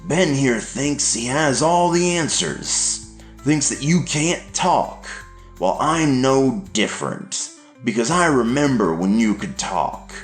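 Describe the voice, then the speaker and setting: A man voicing an angry, abusive middle-aged father who coaxes and then shouts. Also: mid-range voice, animated, takes it slowly, voice pushed up. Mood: menacing. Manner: shouting, coaxing then cruel. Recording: echoey room, faint music.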